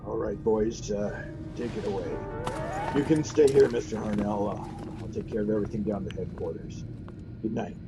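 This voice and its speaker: An actor playing a grave, stolid police officer in a 1940s radio drama, plain-spoken and official, with some hesitation. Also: male voice, lowish voice, moderate pace, background noise, natural voice. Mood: solemn, businesslike. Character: stolid, grave male police officer breaking bad news. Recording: dull, lo-fi 1940s radio recording.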